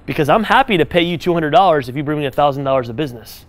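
A man giving direct business advice to a live audience, animated and conversational, checking in with "right?" as he goes. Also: fairly high pitch, rapid-fire. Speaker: business speaker advising an audience. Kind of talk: live talk to a room audience.

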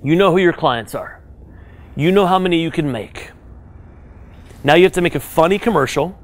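Animated man giving business advice live. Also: a little high, normal speed. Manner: animated, direct, second-person advice with frequent "right?". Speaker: business speaker advising an audience. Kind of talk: live talk to a room audience.

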